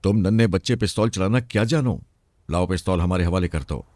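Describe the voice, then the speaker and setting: A man's voice: sits low, a little fast, a little mellow, no room sound, clean studio sound. A man voicing a fearless bandit chief in a story, with a menacing, mocking, commanding manner. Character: sneering, fearless adult male bandit chief.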